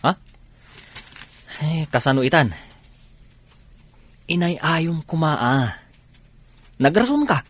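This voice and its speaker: A teasing, easygoing Ilocano buddy from a radio comedy drama, acted out by a man. He swings his pitch freely and stretches his interjections at a leisurely pace. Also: very animated, takes it slowly, long pauses, no room sound, exaggerated voice.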